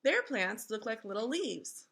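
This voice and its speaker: A woman reading a children's storybook aloud in an expressive, instructive way, like a teacher engaging young listeners. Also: on the high side, unhurried, creaky, husky.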